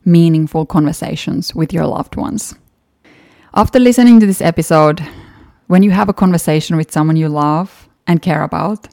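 A woman's voice: low voice, dark tone, no echo, clean studio sound. A woman with a Finnish accent, a native Finnish speaker, hosting a solo podcast and talking through past episodes in an earnest, encouraging way. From Finland. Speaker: podcast host.

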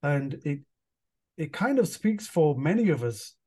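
A man's voice: fairly high pitch, very animated, unhurried, a little mellow, breathy edge. A man from England hosting a podcast, asking long, wandering questions full of "like" and "you know". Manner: rambling, searching questions with fillers and restarts. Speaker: podcast host. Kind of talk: casual interview.

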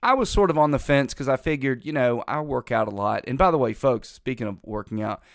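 A man's voice: medium pitch, lively intonation, fairly quick, gravelly, no room sound, studio quality. A middle-aged man hosting a casual political talk show, rambling in a folksy way while congested from a lingering cold.

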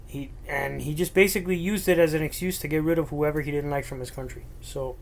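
Man talking into a laptop, flat-toned. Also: on the high side, fast talker, gravelly. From Miami, United States. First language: Spanish. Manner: earnest, rambling with restarts. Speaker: podcast host. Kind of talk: solo personal storytelling monologue. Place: bedroom at home, alone. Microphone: laptop microphone.

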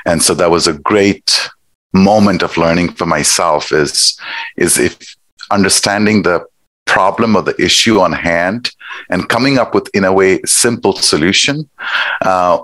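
Middle-aged man from Nepal, very low voice, dry sound; measured, thoughtful interview guest. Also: a bit bright, no room sound, poor audio. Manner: thoughtful, measured, with pauses and fillers. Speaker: guest, executive director. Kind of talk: conversational interview.

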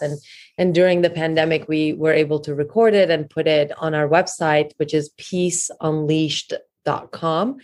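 A woman with a low voice speaks as an interview guest, explaining things in an earnest, steady way. She fills pauses with many small "uh"s and "um"s. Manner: earnest, steady, with frequent fillers. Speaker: author and meditation teacher.

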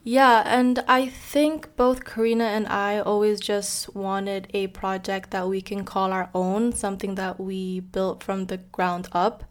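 A woman's voice: mid-range voice, little pitch movement, a little slow, hardly any pauses. A woman in her twenties from Indonesia, co-hosting a podcast in a casual, friendly chat with frequent fillers and light laughs. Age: 20s. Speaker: podcast co-host.

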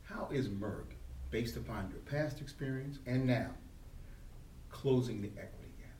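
A man's voice: mid-range voice, a little slow, darkish tone. A man hosting a podcast interview at a convention, polite and enthusiastic, often addressing his guest as sir. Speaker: podcast host. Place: convention venue. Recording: roomy, distant mic with faint noise.

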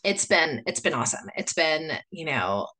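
A chatty woman from the United States hosts a solo podcast episode. Her voice sits fairly low but sounds bright and forceful. She wanders through her thoughts, leaning on plenty of "like" and "you know.". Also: big pitch swings, moderate pace. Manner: chatty, rambling, full of "like" and "you know" fillers. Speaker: podcast host. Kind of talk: casual solo podcast talk.

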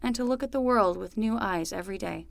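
A woman's voice: mid-range voice, brisk, gravelly, no room sound, clean studio sound, close to an everyday voice. A woman playing a young summer nanny, patient and wry, talking casually and frankly in a naturalistic fiction podcast. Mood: solemn.

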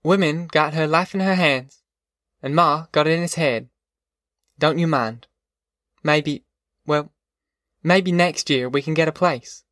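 A man voicing a soothing, plainspoken middle-aged farm mother in an audiobook, soft-spoken, with a rural Southern American accent. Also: a little high, unhurried, pauses often, no room sound, clean studio sound, voice pushed up. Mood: soothing, assured. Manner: soft-spoken, folksy, reassuring. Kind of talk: audiobook character dialogue.

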